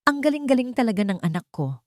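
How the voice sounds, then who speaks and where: A woman voicing a meek, weary cleaning-maid mother, soft-spoken and gentle, in a story. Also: a little high, big pitch swings, fast, no room sound, clean studio sound, voice pushed up. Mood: tender.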